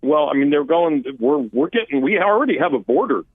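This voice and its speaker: A man's voice: on the high side, lively intonation, brisk, dry recording. A man, a policy expert guest, talking through immigration issues in a conversational, emphatic way with frequent restarts. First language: Armenian. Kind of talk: political talk radio interview. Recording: phone-line guest audio, dry.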